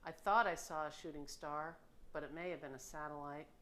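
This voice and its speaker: A woman's voice: low voice, fairly even intonation, a little fast, fairly bright tone. A middle-aged woman with an American accent reads her own personal memoir as an author, in a reflective, informal storytelling manner, recorded in a home kitchen. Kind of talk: personal memoir audiobook narration.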